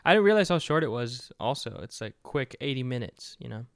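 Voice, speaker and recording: A young man chats casually with his co-host, asking questions and keeping his replies short and relaxed. His voice is smooth and clear, recorded close and dry. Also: medium pitch, fairly quick, dry recording. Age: young adult. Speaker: podcast co-host. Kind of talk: casual chat.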